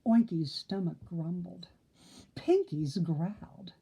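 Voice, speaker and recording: A woman reading a children's story aloud in an expressive narrating style. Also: on the low side, slow, husky. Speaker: storybook reader.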